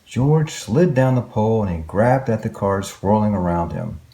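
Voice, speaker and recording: A man's voice: on the low side, animated, normal speed, dark tone. A man reading a children's story aloud expressively, with exclamations and wondering asides to young listeners.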